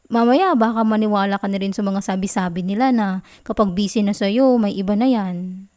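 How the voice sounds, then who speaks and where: A woman with a Filipino accent playing a clingy, affectionate, playfully sulky girlfriend in an intimate ASMR roleplay, soft, cooing and teasing. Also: medium pitch, moderate pace, natural voice. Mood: tender, teasing.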